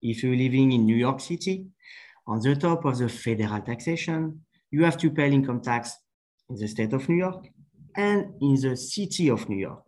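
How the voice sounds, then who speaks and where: A man from France with a French accent, an accountant answering interview questions haltingly with many fillers. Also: medium pitch, expressive, a little mellow, poor audio. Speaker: accountant, podcast guest.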